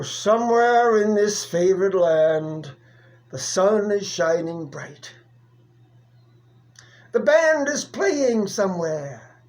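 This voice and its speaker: A person reciting a narrative poem in a theatrical, deliberate manner with dramatic pauses. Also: mid-range voice, a little mellow. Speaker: poetry reader.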